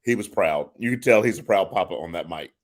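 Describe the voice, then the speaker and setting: A man's voice: low voice, lively intonation, fairly quick. A man co-hosting a casual talk show, giving blunt, opinionated takes. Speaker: podcast co-host.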